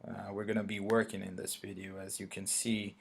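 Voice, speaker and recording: A man from Brazil, a native Portuguese speaker with a Brazilian Portuguese accent, walks through a currency trade at his computer in a hesitant manner, pausing often and restarting sentences. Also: on the low side, level tone, creaky, breathy edge. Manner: hesitant, many fillers and restarts. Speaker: forex trader. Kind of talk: screen-recorded trading walkthrough.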